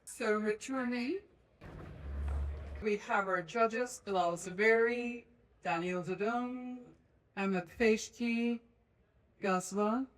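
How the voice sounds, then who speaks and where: A woman's voice: on the high side, takes it slowly, long pauses. A woman moderator introducing the judges and welcoming them. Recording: voice far from the mic.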